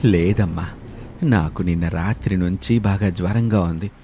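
A man's voice: low-pitched, normal speed, dark tone, a little hiss or hum, voice pushed down. Man voicing a frail, humble old street vendor. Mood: weary, pleading. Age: older. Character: frail, sick, grateful old male pani puri vendor. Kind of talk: animated moral story character voice. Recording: background music under the voice.

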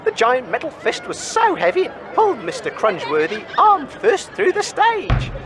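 A man's voice: very high voice, huge pitch swings, moderate pace, exaggerated voice. A man playing a flustered, hesitant wasteland radio reporter giving live commentary in a comedy radio drama. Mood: alarmed. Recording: noisy crowd ambience behind.

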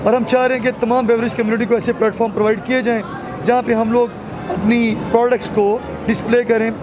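A man's voice: very high voice, little pitch movement, fast talker, over music. A man in his thirties with a Pakistani accent, a company representative answering business questions in an interview into a handheld microphone. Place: busy indoor expo hall. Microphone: handheld reporter microphone. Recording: noisy crowded exhibition hall.

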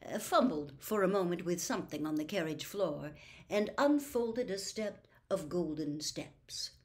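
Female storyteller, 60s, very unhurried, dramatic phrasing, mid-pitched voice for narrating fiction. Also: darkish tone. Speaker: storyteller reading aloud. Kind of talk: expressive audiobook-style reading of a novel. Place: small room at home with bookshelves.

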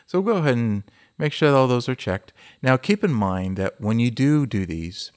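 A man's voice: mid-range voice, sing-song, normal speed, darkish tone. A man hosting a tutorial, explaining things step by step in an informal, conversational way. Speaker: host of an audio tutorial. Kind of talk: casual how-to tutorial.

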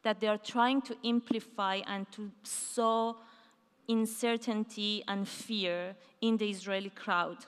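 A woman giving a conference keynote on a large dark stage, talking in a loose conversational way with fillers and restarts. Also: medium pitch, fairly even intonation, takes it slowly, smooth. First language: not Hebrew. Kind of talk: conference talk. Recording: hall reverb from a large stage.

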